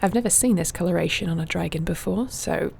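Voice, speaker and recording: A woman playing a gentle, wry warrior shifter with healing magic in an intimate fantasy audio roleplay, speaking softly and soothingly without hurry. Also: lowish voice, fast talker, creaky, slight background noise. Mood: curious, musing.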